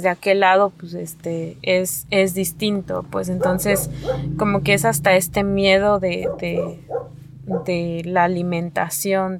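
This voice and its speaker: A woman from Mexico whose first language is Nahuatl, speaking haltingly with many fillers and restarts. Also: fairly low pitch, gravelly, noisy background. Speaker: asylum seeker. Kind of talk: testimony to an interviewer.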